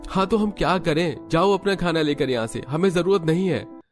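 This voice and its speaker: A man's voice: fairly high pitch, lively intonation, normal speed, faint music behind, pitched above the performer's own voice, lightly acted. A man voicing an irritated male relative in a cartoon story, curt and scolding. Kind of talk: Hindi cartoon story dialogue.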